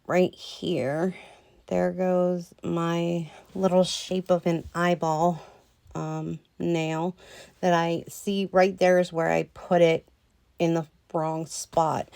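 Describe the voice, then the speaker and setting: A woman talking through a nail tutorial in a slow, rambling way with lots of ums, a little hoarse as she loses her voice. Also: fairly low pitch, darkish tone, dry recording. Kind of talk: casual voice-over tutorial.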